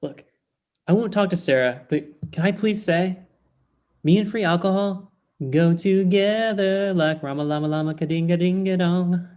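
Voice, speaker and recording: A man's voice: high-pitched, moderate pace, darkish tone, close to an everyday voice. A man plays a cheeky, hapless former employee in his thirties. He reads a comedy script in an everyday voice over a video call. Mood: wheedling. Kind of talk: remote table read of a comedy script.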